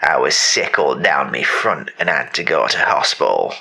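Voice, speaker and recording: Man putting on a gravelly old British war veteran. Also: a bass voice, sing-song, pushed and bright, voice pushed down, exaggerated voice. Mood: weary, disgusted. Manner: slow, rambling, grumbling. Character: weary eighty-year-old male war veteran, British, disgusted.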